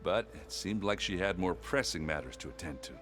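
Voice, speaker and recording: An actor playing a gruff, good-natured warrior in a video game, talking in a blunt, rambling, self-deprecating and jokey way. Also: male voice, deep voice, expressive, a little fast, a bit bright, faint music behind. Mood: joking, casual.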